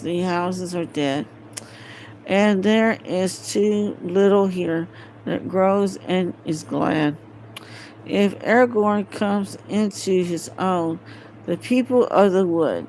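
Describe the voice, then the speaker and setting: Woman reading a fantasy book out loud, really slow and halting, bit of background noise, home recording. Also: fairly low pitch, rough recording. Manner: hesitant, stumbling over words and correcting herself. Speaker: amateur audiobook reader. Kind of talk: halting read-aloud of a fantasy novel.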